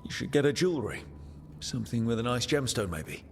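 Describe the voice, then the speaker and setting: Wry, steady party leader. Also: male voice, mid-range voice, a little fast, faint music behind. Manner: calm, wry and encouraging. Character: steady male hero leading a party. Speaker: player character. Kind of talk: video game cutscene dialogue.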